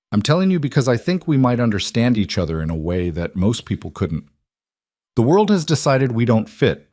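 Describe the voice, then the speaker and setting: A man's voice: lowish voice, no echo, clean studio sound. A man reading as a blunt, frank frontier woman. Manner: blunt, steady letter-reading delivery. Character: frank, plainspoken woman seamstress in her late thirties.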